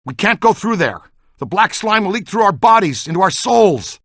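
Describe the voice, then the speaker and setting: Bright, animated ghost catcher. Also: male voice, sits high, normal speed, no room sound, clean studio sound, heavily acted. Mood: brisk, matter-of-fact. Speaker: video game voice actor. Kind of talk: video game character lines.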